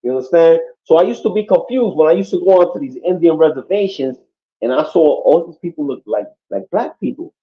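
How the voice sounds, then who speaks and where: Man from Puerto Rico, outspoken podcast guest, profane and insistent, voice a bit high, dull low-fi recording. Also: lively intonation, brisk. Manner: profane, emphatic, keeps asking "you know what I mean". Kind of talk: casual podcast talk. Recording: muffled lo-fi audio.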